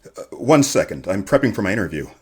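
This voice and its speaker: An actor playing a nervous, deferential radio journalist in an audio drama, hesitant and stammering. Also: male voice, fairly low pitch, very animated, fast talker, a little mellow, husky, exaggerated voice. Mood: flustered, apologetic. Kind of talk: full-cast audio drama acting.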